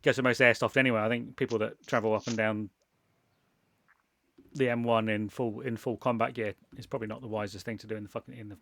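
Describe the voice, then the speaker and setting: A man's voice: on the low side, little pitch movement, fast talker, creaky, a bit bright. A man from England hosting a casual chat, rambling with frequent restarts and laughter. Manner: rambling, self-interrupting, laughs often. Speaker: podcast host.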